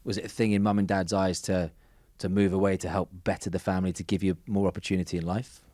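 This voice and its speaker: Fast-talking low-voiced male host. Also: little pitch movement, mellow, dry recording. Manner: eager, stumbles on repeated words. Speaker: podcast host. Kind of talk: interviewing a guest.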